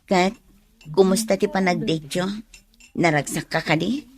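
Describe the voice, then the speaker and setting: A woman's voice: on the low side, fairly even intonation, a little slow. A woman with an Ilocano accent acting a frank, doubting co-worker in a radio drama. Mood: probing, skeptical.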